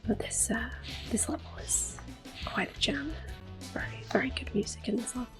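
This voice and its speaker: A young woman giving calm, soft-spoken ASMR commentary on a game she is playing, drifting often into close whispers with gentle fillers. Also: a little high, moderate pace. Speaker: ASMR gaming host. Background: faint video game music.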